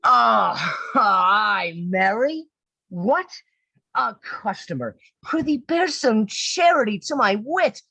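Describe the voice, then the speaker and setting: A woman acting a vain, lighthearted male lieutenant in a Shakespearean radio play, with a husky voice and theatrical drawl. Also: fairly high pitch, animated, slow, bright, forceful tone, no room sound, full character voice. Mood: mocking amusement.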